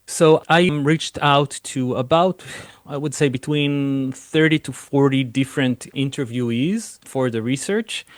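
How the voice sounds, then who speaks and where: A man's voice: medium pitch, unhurried, no echo. Earnest Israeli man with dry sound. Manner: earnest, fluent with frequent "you know" fillers. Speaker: film director. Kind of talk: interview answers.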